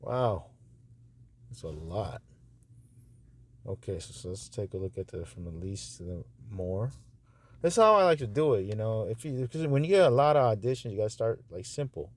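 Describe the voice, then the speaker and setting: A man hosting a casual review of voice-over auditions, speaking in halting, repetitive asides as he goes. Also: fairly low pitch, lively intonation. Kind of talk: casual screen-recording commentary.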